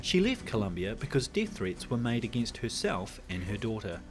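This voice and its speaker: A man's voice: fairly low pitch, sing-song, moderate pace. A man reading scripted documentary narration. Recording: music playing behind the voice. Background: music.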